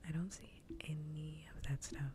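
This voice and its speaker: A woman livestreamer chatting casually in a soft ASMR style, mostly in a whisper, close to the microphone. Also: fairly even intonation, normal speed. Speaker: livestreamer host. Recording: faint background noise, low rumble.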